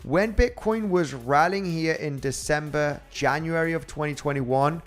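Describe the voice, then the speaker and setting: A man's voice: fairly high pitch, a little slow, soft background music. A man with a Spanish accent, a crypto trading host chatting casually on a livestream and reading out viewer comments in a rambling way. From Spain. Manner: rambling and chatty, with frequent fillers and laughs.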